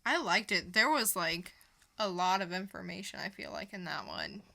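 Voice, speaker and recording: A woman's voice: lowish voice, moderate pace, creaky, pushed and bright. A woman co-hosting a podcast, giving casual, informal opinions in a review chat. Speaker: podcast co-host.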